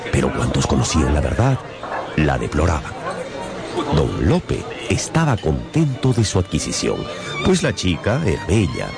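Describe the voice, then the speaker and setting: Male radio novel narrator, deep pitch, theatrical storytelling delivery, prominent music bed. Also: wildly swooping pitch, moderate pace, crowd noise.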